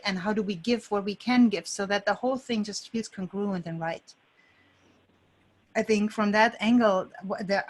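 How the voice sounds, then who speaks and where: A woman's voice: medium pitch, low-quality recording. A woman hosting an online group coaching session, talking casually to the participants over a video call.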